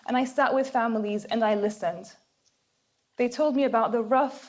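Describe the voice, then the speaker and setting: A woman's voice: on the high side, darkish tone. A woman psychologist delivering a rehearsed talk as a conference speaker on a stage.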